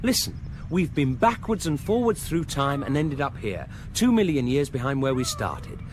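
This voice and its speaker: An actor playing a brash, cynical galactic hitchhiker in a sci-fi comedy radio drama, blunt and emphatic. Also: male voice, medium pitch, big pitch swings, normal speed, a little mellow, slight background noise, lightly acted.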